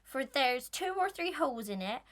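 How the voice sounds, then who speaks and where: A woman plays a scrappy little Victorian schoolboy with a high, bright voice. The urchin protests cheekily in rough country grammar, pitch jumping about, in audio melodrama style. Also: sing-song, normal speed. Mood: cheeky protest.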